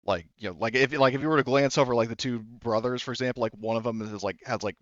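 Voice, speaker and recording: A man's voice: mid-range voice, fairly even intonation, fast, a bit bright, no room sound, dull audio. A man working as game master, narrating a tabletop roleplaying game off the cuff.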